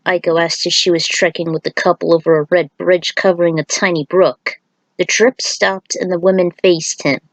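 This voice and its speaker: A woman's voice: fairly low pitch, level tone, moderate pace, no room sound. A woman reading a fan-fiction story aloud as an amateur narrator, occasionally stumbling and repeating words.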